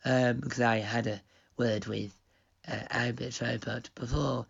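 A young man with a gravelly, fading voice rambles from one aside to the next in a pre-recorded radio intro. The sound is dull and lo-fi. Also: medium pitch, flat delivery, a little slow. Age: young adult. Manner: rambling, digressive. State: losing his voice. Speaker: radio station owner.

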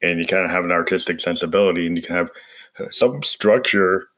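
Deep, ragged-voiced man with a cold. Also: brisk, a bit bright. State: hoarse from a cold. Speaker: host, musician. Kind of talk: casual music production walkthrough.

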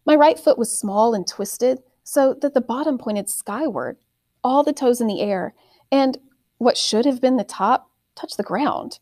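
A woman narrating a first-person story expressively, with deliberate, dramatic phrasing. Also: a little high, a little mellow, no room sound, clean studio sound. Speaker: audiobook reader, teacher reading aloud.

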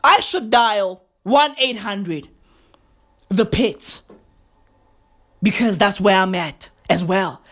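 A young woman who hosts a podcast rants in profane, slang-heavy bursts. Her voice is going hoarse. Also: mid-range voice, slow pace, pauses often, a bit bright. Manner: profane, slangy, emphatic ranting. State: losing her voice. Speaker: podcast host. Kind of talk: comedic podcast rant.

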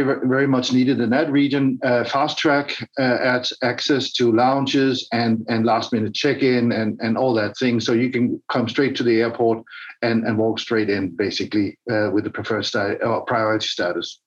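A man's voice: mid-range voice, steady tone, moderate pace, highs cut off. A man from Denmark, answering as a podcast guest, hesitant and often repeating words while he thinks. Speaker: podcast guest, business director. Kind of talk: interview answers.

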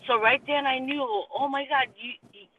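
A middle-aged woman from Hawaii, a mother telling a chatty, animated story as a guest. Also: high-pitched, moderate pace, phone-quality sound. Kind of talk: casual storytelling. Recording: distant mic with some background noise.

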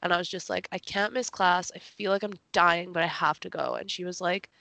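A young woman co-hosting a podcast in casual conversation, with a gravelly morning voice and an informal, chatty way of talking. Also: fairly low pitch, fairly even intonation, brisk, bright, forceful tone, no room sound. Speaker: podcast co-host. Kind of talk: casual chat.

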